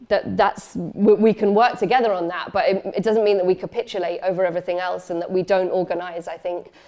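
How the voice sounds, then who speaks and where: A woman's voice: on the low side, warm tone. Hesitant woman lecturing. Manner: hesitant, many fillers and restarts. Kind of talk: memorial lecture with questions.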